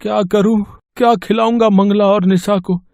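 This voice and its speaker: A man's voice: sits high, fairly even intonation, moderate pace, gravelly, darkish tone, no echo, clean studio sound. A man playing a poor, anxious village father in a cartoon story, earnest and pleading. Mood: worried. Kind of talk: Hindi cartoon story voice acting.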